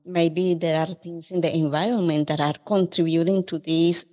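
A woman with a Colombian Spanish accent talks through ideas in a careful, measured way, with frequent pauses as she explains. Her voice sits a little low. A long reverb trails behind her words. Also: fairly even intonation, dark tone. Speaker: occupational therapist guest. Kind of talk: podcast conversation, explaining.

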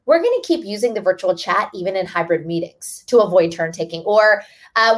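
A woman author speaking as a guest in a webinar, explaining earnestly and restarting phrases now and then. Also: medium pitch. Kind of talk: webinar talk.

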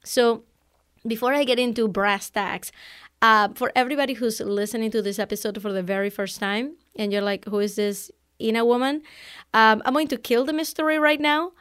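A woman in her forties from Venezuela with a Venezuelan Spanish accent, a native Spanish speaker, hosting a solo podcast as an online business coach in an energetic, chatty way. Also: fairly high pitch, smooth. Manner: energetic and chatty, quick laughs.

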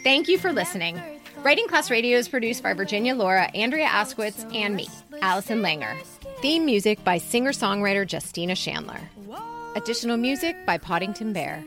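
A woman's voice: mid-range voice, sing-song, fairly quick, over music. A woman co-hosting a podcast as a story editor, talking in a direct, emphatic, conversational way.

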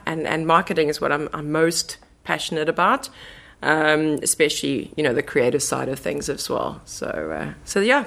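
Low-voiced South African woman chatting. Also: fairly bright tone. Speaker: interview guest, marketing manager. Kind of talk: casual podcast chat.

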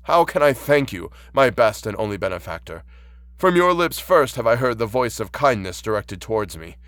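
A man's voice: on the low side, big pitch swings, moderate pace, a bit bright, no room sound. Male narrator as outcast monster, storybook, fervent, formal, anguished, dry close sound. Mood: grateful. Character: outcast monster, anguished, earnest, pleading for kindness.

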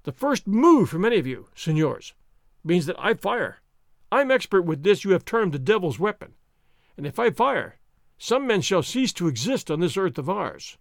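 Guy doing a cool masked swordsman, calm, bossy, kinda mocking. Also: high-pitched, normal speed, vocal fry, dry recording, studio quality, exaggerated voice. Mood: commanding. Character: cool, confident masked swordsman hero, young man. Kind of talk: audiobook character reading.

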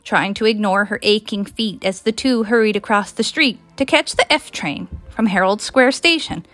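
Bright-voiced woman with a Newfoundland accent, storytelling. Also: medium pitch, moderate pace, dry recording. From Newfoundland, Canada. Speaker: guest reader, author. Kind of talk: expressive audiobook-style story narration.

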